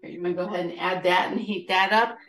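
A woman's voice: lowish voice, steady tone, fast, fairly bright tone, soft background music. A woman in her fifties hosting a live cooking show from her home kitchen, chatting casually and explaining each step as she goes.

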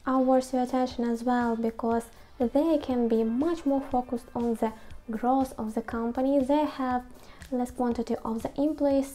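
A woman's voice: high voice, mellow. A woman in her twenties hosting a video, giving scripted advice to camera in non-native English phrasing. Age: 20s. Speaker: YouTube host. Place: small quiet room at home.

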